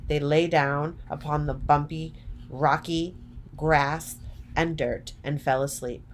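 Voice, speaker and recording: A woman narrating a children's story from a script, deliberately, phrase by phrase. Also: sits low, fairly even intonation, slight background noise.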